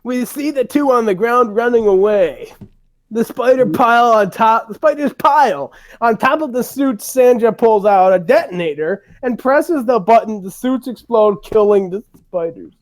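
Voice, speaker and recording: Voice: medium pitch, animated, normal speed. A person narrating a comedic dramatic reading in a casual way, breaking into playful, mock-exasperated asides.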